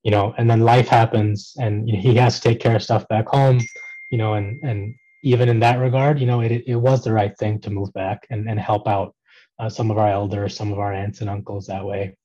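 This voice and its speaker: A man in his thirties with a fairly low, warm, mellow voice, talking at an easy pace as a podcast guest. He hesitates often and restarts his phrases. The sound is slightly dull and lo-fi. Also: a little fast. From Pakistan. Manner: relaxed, many ums and restarts. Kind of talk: casual conversational interview.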